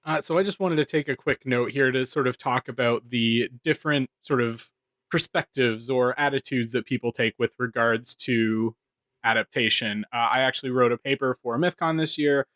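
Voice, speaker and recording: A man with a medium-pitched voice talks as a podcast co-host. His delivery is informal yet academically worded, recorded dry and close. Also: normal speed, a bit bright, no echo. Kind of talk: casual podcast discussion.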